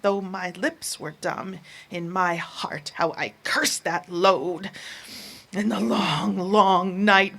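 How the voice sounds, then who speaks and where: A woman giving a dramatic live recitation of narrative verse, theatrical and emphatic. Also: a little high. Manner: theatrical, emphatic, with hushed asides. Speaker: poetry reciter. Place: live performance before listeners. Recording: live performance, faint background noise.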